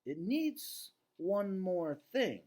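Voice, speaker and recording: A man's voice: high voice, big pitch swings, extremely slow, darkish tone, voice pushed up, exaggerated voice. A man voicing an earnest, brooding gorilla artist from a storybook, in a plain, subdued manner.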